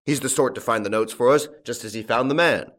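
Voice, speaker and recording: A man's voice: mid-range voice, quick pace, a bit bright, close to an everyday voice. A man voicing an angry, domineering farmer in an audiobook, with a growling, bullying delivery. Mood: eager, demanding. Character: angry domineering male farmer demanding his stolen money. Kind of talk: audiobook character acting.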